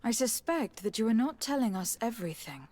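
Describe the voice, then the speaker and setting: A woman's voice: on the high side, expressive, fairly quick, darkish tone, no echo. An actress playing a composed, diplomatic noblewoman envoy in a video game, formal and polite. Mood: calm, probing. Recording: dry voice, faint game music. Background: faint music.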